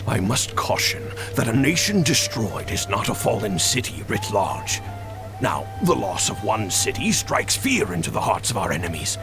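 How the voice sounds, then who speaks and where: An actor playing a wise old general and royal counselor in a video game's stage play, grave, eloquent and declamatory. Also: male voice, lowish voice, vocal fry. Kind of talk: theatrical stage-play acting in a video game. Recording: music behind the voice.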